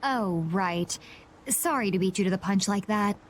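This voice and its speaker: An actress playing a composed young woman adventurer in a video game, calm, guarded and lightly teasing. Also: medium pitch, moderate pace, a little hiss or hum, close to an everyday voice. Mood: coolly teasing.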